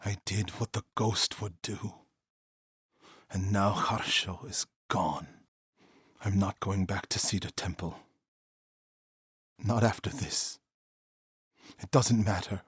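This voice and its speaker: An actor playing a grieving, vengeful warrior monk in feudal Japan for a video game, with a husky, solemn, intense and formal delivery. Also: male voice, sits low, fairly even intonation, slow pace, pauses often, no room sound, studio quality, exaggerated voice. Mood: grim, bitter. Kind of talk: dramatic video game voice acting.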